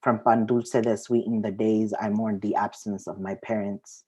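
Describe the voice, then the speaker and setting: A woman's voice: rumbling low, level tone, normal speed, warm tone, breathy edge. A woman, sharing her poetry at an open mic. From Mexico, raised partly in the US Southwest. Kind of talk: spoken-word poetry reading with casual introduction.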